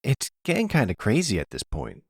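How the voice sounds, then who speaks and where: Guy doing a young mob kid, lighter husky voice, chatty n casual, audio roleplay. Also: mid-range voice, huge pitch swings, fairly quick, a little mellow, no room sound, studio quality, voice pushed up, exaggerated voice. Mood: teasing concern. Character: young male mob underling, easygoing and talkative.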